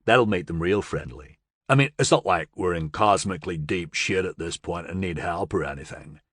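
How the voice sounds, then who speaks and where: A man voicing a laid-back, slangy hippie man who drawls slowly and sarcastically. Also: deep voice, a bit bright, dry recording, studio quality, pitched below the performer's own voice. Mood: sarcastic.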